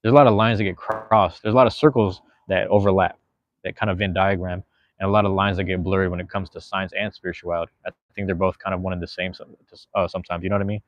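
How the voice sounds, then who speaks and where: A man's voice: low voice, flat delivery, fast talker, no room sound, lo-fi sound. A man in his thirties chatting casually as a podcast guest, rambling and slangy, swearing freely. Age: 30s. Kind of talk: casual chat.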